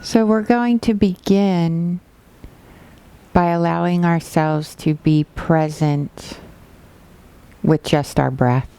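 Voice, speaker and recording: A woman teaching a guided body scan meditation, giving gentle, unhurried instructions with pauses. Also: fairly low pitch, fairly even intonation, clear, resonant tone, rough recording.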